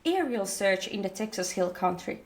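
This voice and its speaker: A woman's voice: medium pitch, expressive, normal speed. A woman from Finland with a Finnish accent, co-hosting a casual podcast, chatty and joking, often stumbling over words. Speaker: podcast co-host.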